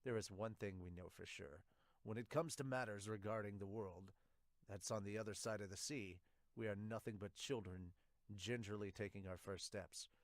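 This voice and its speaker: A young man giving an amateur dramatic read-aloud of manga dialogue, somewhat halting, into a close mic. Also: fairly low pitch, steady tone, no room sound. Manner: halting reading with stumbles. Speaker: podcaster reading a manga aloud as an amateur dub. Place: small home room, indoors. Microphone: large studio mic with pop filter, close.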